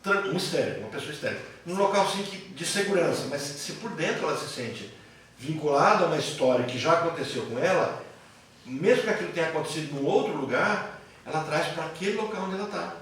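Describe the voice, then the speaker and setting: A man's voice: a little high, animated, fast, a bit bright. A man with a Brazilian Portuguese accent teaching a class in a didactic way, posing questions and answering them himself. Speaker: psychoanalysis teacher. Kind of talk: classroom lecture with questions to students. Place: classroom with students. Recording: very echoey room, distant mic, faint noise.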